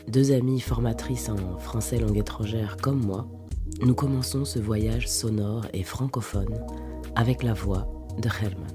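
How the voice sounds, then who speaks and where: A woman hosting a podcast, reading scripted narration with measured, deliberate phrasing. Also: very deep voice, over music.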